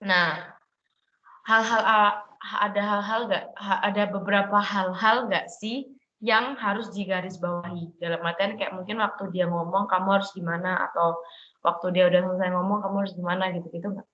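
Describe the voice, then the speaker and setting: A woman's voice: medium pitch, fairly even intonation, normal speed, pushed and bright, a little hiss or hum. A young woman interviewing someone with chatty, curious questions. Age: young adult. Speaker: interviewer, student. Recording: dull, echoey room sound.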